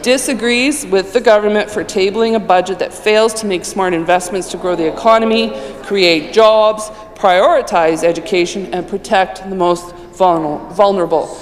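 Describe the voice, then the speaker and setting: Woman moving a motion in a reverberant chamber. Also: medium pitch. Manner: deliberate, with fillers and restarts. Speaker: opposition finance critic. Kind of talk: formal legislative speech, moving a motion. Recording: long reverb in a large chamber.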